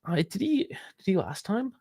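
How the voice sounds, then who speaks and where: A man's voice: high-pitched, big pitch swings, normal speed, vocal fry, a little mellow, slightly rough, no echo, clean studio sound. A man co-hosting a casual sports call-in podcast, talking in a chatty, rambling way and laughing often. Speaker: podcast co-host.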